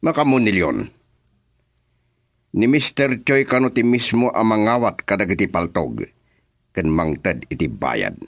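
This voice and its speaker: A man playing a deliberate, commanding crime boss in an Ilocano radio drama. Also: lowish voice, lively intonation, no room sound, clean studio sound. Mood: scheming.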